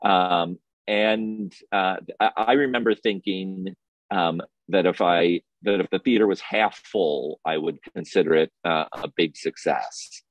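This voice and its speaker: A man with a low voice in a dry, close-sounding space, recalling things casually in an interview. He speaks haltingly, often hesitating and restarting his phrases as he goes. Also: no room sound, muffled recording. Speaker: film festival programmer being interviewed.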